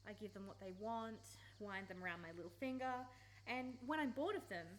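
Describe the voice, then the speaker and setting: A woman's voice: medium pitch, lively intonation, fairly quick, lightly acted. A woman acting in a radio play as a conflicted, defensive young woman who speaks hesitantly and with emotion. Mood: confessing. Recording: faint background noise, live recording.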